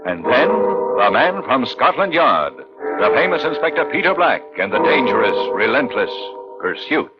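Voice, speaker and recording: Dramatic male radio announcer over loud music. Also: medium pitch, very animated, unhurried. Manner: dramatic, portentous. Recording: muffled old radio broadcast.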